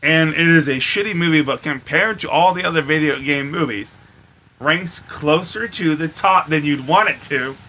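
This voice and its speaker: Male podcast co-host, sharp forceful voice on the high side, emphatic and sweary in casual movie talk. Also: normal speed. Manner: emphatic, profane. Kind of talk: casual chat about films.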